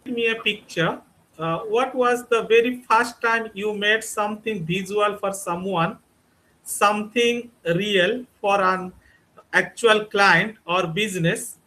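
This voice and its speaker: A man's voice: high-pitched, slow, bright, forceful tone, low-quality recording. A man hosting a podcast interview, asking prepared questions. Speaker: podcast host.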